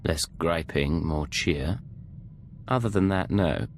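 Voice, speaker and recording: Deep, gravelly male secret agent. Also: lively intonation, takes it slowly, slight background noise, full character voice. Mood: terse. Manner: clipped, controlled, understated. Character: cool, terse male imperial intelligence agent. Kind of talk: video game dialogue acting.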